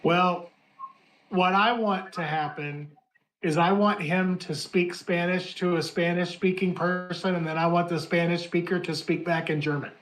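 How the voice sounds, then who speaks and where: A man co-hosting a casual wrestling podcast, pitching ideas with enthusiasm. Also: fairly even intonation, measured pace, fairly bright tone, recorded from a distance, poor audio.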